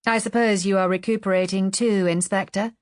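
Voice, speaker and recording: A woman's voice: mid-range voice, steady tone, a little fast, no room sound, clean studio sound, close to an everyday voice. Woman reading as a reserved, uneasy society wife. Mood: guarded politeness.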